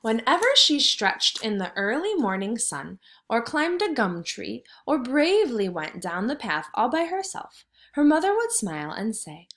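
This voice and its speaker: A woman's voice: a little high, very animated, measured pace, hardly any pauses, a bit bright. A woman teaching kindergarten through a read-aloud, instructing and thinking aloud in simple words for young children.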